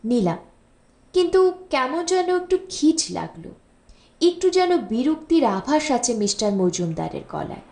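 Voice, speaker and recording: A woman reading a literary story as an audiobook narrator, in an expressive storytelling style. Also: high-pitched, measured pace, gravelly. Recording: roomy, echoing voice recording.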